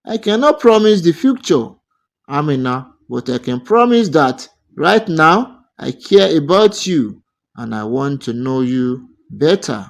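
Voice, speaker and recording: A man voicing a gentle, patient city suitor in a folktale, speaking with reassurance. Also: fairly high pitch, very animated, a little slow, gravelly, full character voice. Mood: reassuring.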